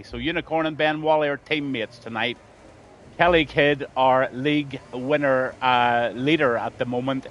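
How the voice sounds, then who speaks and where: A man's voice: mid-range voice, fairly bright tone, a little hiss or hum. A man with a Scouse accent commentating a sim race on a live stream, greeting viewers by name and letting his voice trail off at the ends of lines.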